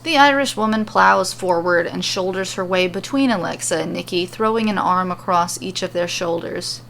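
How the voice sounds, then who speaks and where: A woman's voice: fairly low pitch, moderate pace, gravelly, a bit bright, low-quality recording. A woman storyteller reading scripted fantasy narration, with ambient sound effects behind her. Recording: faint background noise and ambience.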